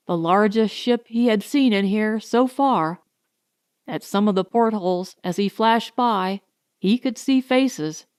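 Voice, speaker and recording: A woman narrates a science fiction story in a suspenseful, deliberate style. She reads slowly in short weighted phrases, with a mid-pitched voice and a close, dry sound. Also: darkish tone, dry recording. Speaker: audiobook narrator.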